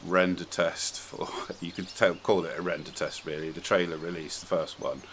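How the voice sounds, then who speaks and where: A man's voice: sits low, little pitch movement, normal speed, fairly bright tone, slight background noise. A British man bantering casually on a podcast in a wry, jokey, informal way. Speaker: podcast speaker.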